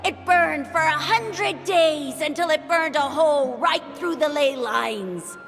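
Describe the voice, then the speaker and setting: A woman voicing a lively young girl of a tribe in a game, telling a legend in a playful, dramatic way. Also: slow, vocal fry, big-room sound, soft background music, exaggerated voice. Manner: playful, dramatic storytelling with big pitch swings. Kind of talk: game dialogue voice acting.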